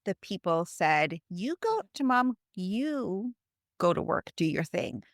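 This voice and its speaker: A woman from Canada hosting a conversational podcast, phrasing her thoughts in a thoughtful, measured way. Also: medium pitch, very animated, no room sound, clean studio sound. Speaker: podcast host.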